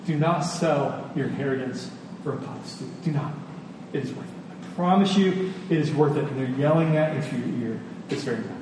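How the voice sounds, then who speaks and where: Echoey male preacher, earnest and emphatic. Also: mid-range voice. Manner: earnest, emphatic, dramatic pauses and repetition. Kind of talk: expository sermon with scripture reading. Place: large reverberant indoor hall. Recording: distant, echoey large hall, faint noise.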